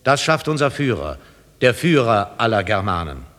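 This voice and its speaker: An actor in a radio drama playing a fanatical Nazi orator, pompous and declamatory, in a reverberant hall. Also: male voice, fairly low pitch, big pitch swings, moderate pace, fairly bright tone. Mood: fanatical, exalted. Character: fanatical male Nazi orator glorifying the Germanic race.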